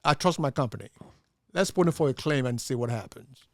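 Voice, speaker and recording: A man working as an insurance agent, giving casual, somewhat halting and agreeable answers as an interview guest. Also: mid-range voice, expressive, no echo, clean studio sound. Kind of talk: casual conversational anecdote.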